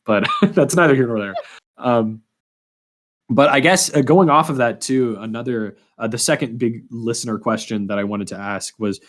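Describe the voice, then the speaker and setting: A man's voice: medium pitch, normal speed, fairly bright tone. A man interviewing as a podcast host in a casual, informal way, often stumbling over his words.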